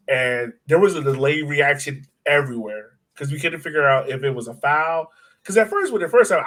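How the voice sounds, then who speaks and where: A man's voice: mid-range voice, a bit bright. A man co-hosting a soccer fan podcast in casual, conversational analysis, often putting questions to his co-host. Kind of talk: casual sports analysis chat.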